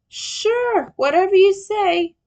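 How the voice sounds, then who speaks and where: A woman acts out a young male turtle hero from a fan story, wounded but still teasing. The voice is high and emotional. He talks haltingly, with a sentimental streak. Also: animated, a little mellow, full character voice.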